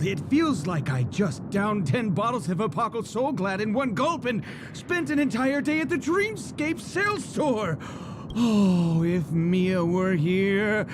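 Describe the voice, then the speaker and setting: A theatrical, wildly swooping game guard. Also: male voice, sits high, noisy background. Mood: giddy, delighted, then giddy, gushing. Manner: gushing, stammering, breaking into giggles. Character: overexcited, giddy Bloodhound guard drunk on delight. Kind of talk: theatrical video game acting. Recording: music behind the voice. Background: game music.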